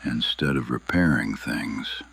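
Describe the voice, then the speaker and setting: A man playing a calm radio crew member who makes dry, matter-of-fact remarks. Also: extremely low pitch, measured pace, slightly rough, full character voice. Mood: deadpan.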